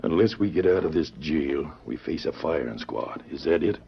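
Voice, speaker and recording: A man with an American accent acting a suspicious rustic outlaw in an old-time radio western, gruff, folksy and wary, with a gravelly voice. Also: a bass voice, expressive, normal speed, exaggerated voice. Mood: wary, sly. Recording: muffled, noisy vintage radio recording.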